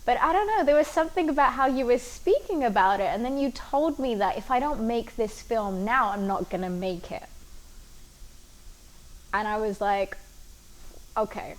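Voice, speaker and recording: A woman's voice: on the high side, moderate pace, long pauses, poor audio. A woman film producer speaking earnestly and reflectively in an interview. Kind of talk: casual interview talk.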